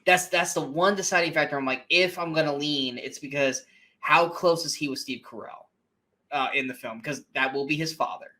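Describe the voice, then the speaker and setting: A man with a slightly higher voice chats casually on a movie podcast. He talks in an animated, loose way, full of fillers and quick laughs, and swears now and then. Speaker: podcast co-host.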